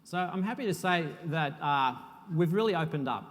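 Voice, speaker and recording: A man's voice: fairly high pitch, normal speed. Man presenting in a reverberant hall. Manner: relaxed, self-deprecating presenter with frequent uhs. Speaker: conference speaker, software architect. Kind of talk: technical conference talk. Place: conference hall.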